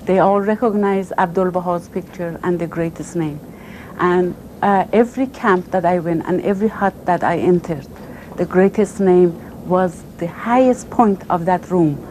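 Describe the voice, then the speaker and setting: Lower-pitched woman in an informal interview. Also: moderate pace, darkish tone, low-quality recording. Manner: non-native English phrasing. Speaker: refugee office coordinator. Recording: faint background ambient noise.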